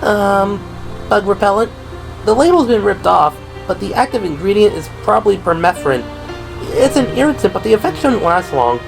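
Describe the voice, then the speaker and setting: A man's voice: high voice, lively intonation, normal speed, creaky, voice pushed up, rough recording. A man voicing a nervous, babbling eighteen-year-old female rookie medic in an audio drama, self-deprecating in manner. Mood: anxious, helpful. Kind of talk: audio drama character acting. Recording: music behind the voice.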